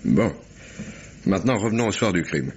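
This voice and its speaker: An actor playing a shrewd, talkative police commissioner with a probing, familiar, ironic manner in a French radio mystery. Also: male voice, low voice, animated, moderate pace. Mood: probing, methodical. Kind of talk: French 1950s-style radio mystery acting. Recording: muffled, noisy old radio recording.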